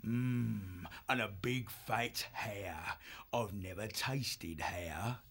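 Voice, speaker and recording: A man's voice: fairly high pitch, very animated, creaky, full character voice. An actor playing a cruel, menacing male foxwolf warlord in an audiobook drama, with a sneering, gloating delivery. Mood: gloating cruelty. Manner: slow, sneering, swinging between hushed and barked.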